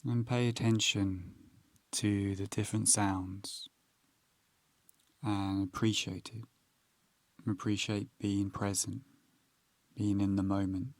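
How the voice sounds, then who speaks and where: Calm guy doing a guided meditation, lowish voice, really dry close sound, gentle instructions with big pauses. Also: dry recording.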